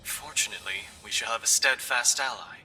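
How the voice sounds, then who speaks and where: An actor playing a solemn military commander in a game cutscene, addressing his troops in formal, measured oratory. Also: on the low side, pushed and bright, far from the mic, soft background music, poor audio. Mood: solemn, resolute. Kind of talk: dramatic game cutscene speech.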